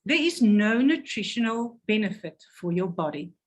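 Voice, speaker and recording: A woman's voice: mid-range voice, very animated, moderate pace. A woman presenting a health product, reading carefully and stumbling over technical words.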